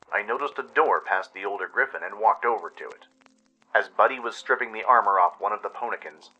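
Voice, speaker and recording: Male radio-play narrator, first-person storytelling, very sharp forceful tone over a music bed. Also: fairly low pitch, muffled recording.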